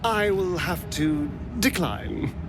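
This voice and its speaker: An actor playing a fastidious, sardonic male vampire in a video game, with a camp and disdainful manner. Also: a little high, big pitch swings, noisy background, heavily acted. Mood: offended, disdainful. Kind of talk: video game cutscene acting. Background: music.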